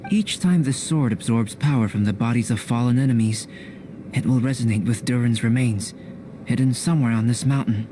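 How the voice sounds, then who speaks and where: Guy doing calm detached young scientist from an anime game, measured n formal, bit of a noisy backdrop. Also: medium pitch, lightly acted. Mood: thoughtful. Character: composed, aloof young male scholar, analytical and coolly detached. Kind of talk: anime-style video game voice acting.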